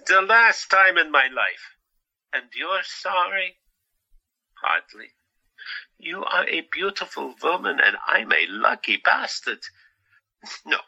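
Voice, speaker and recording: Guy playing a teasing asylum patient in an audio drama, kinda high voice, slow and halting, swoops all over. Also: gravelly, dull audio, full character voice. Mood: wry. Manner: animated, teasing, halting pauses. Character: playful, cheeky male asylum patient with wry melancholy.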